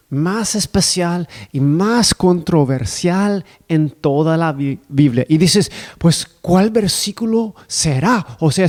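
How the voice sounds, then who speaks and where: A male preacher, whose first language is not Spanish, giving a sermon and leading a prayer in a careful, deliberate way. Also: a little high, a little mellow. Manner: careful, deliberate delivery of a non-native speaker.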